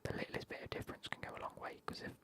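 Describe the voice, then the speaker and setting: A man, whispering as he banters with his live stream chat and swears now and then. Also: racing.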